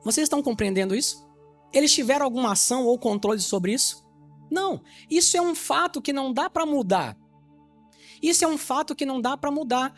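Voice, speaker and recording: A man from Salvador, Bahia, preaching an evangelistic sermon to his congregation. He teaches with rhetorical questions and keeps checking whether they understand. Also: very high voice. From Salvador, Bahia, Brazil. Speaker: pastor.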